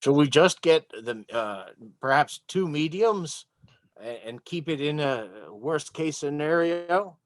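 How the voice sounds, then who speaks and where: A man's voice: a little high, animated, slow, no echo. A man playing a role-playing game, speaking in casual table talk.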